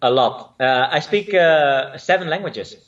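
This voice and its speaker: A man's voice: a little high, expressive, unhurried, a bit bright, recorded from a distance, muffled recording. A man from Spain who grew up with Spanish and Dutch speaks English as a guest in a casual interview. He talks hesitantly, with many fillers and restarts. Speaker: line dance instructor, guest.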